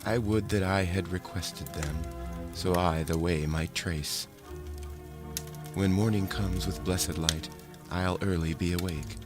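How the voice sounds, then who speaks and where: A man's voice: low voice, steady tone, slow pace, over music. A man voicing an aged, wistful old peasant woman from a legend, speaking in sighing verse. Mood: wistful resolve.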